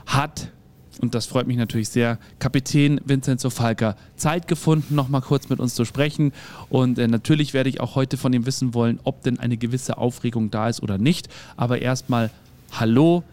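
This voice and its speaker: A man's voice: mid-range voice, darkish tone. A man hosting a radio show, leading an interview in an upbeat way.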